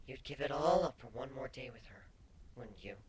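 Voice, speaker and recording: A man voicing a gentle, consoling female changeling in a fan fiction reading, speaking in a soft, breathy, soothing way. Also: mid-range voice, expressive, normal speed.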